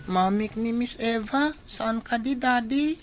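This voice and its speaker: A woman's voice: a little high, unhurried, music in the background, natural voice. A woman voicing an innocent, eager little boy in a radio drama.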